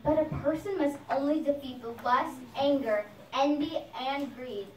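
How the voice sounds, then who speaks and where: A woman's voice: sits high, normal speed, natural voice. A woman voicing a saintly, fearless young boy prince who preaches earnestly in a puppet show, sounding recited. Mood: pleading, preaching. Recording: echoey noisy room, far from mic.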